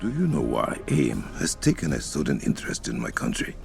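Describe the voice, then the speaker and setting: An actor playing a dignified warrior king in a video game, with a deep gravelly voice, an African accent and a measured, formal, commanding manner. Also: wildly swooping pitch, a little mellow, faint music behind, exaggerated voice. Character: dignified warrior king and masked protector of his nation. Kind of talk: video game cinematic acting.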